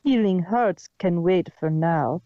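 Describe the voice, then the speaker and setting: A woman voicing a wise, concerned female zebra healer with formal, measured phrasing in a fan fiction story. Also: fairly low pitch, no echo, highs cut off. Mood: concerned, urging.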